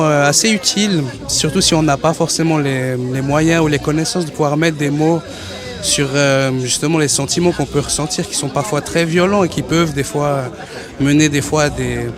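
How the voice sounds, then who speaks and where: A man, a guest at a public event, thoughtfully sharing his own experience with many fillers and restarts. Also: fairly high pitch. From Switzerland. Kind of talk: casual personal testimony.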